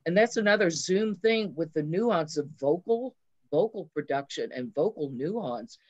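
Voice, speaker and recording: A woman's voice: on the low side, expressive, unhurried. A woman who teaches theater, explaining things enthusiastically in a casual panel conversation over lo-fi call audio. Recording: dull, lo-fi video call audio.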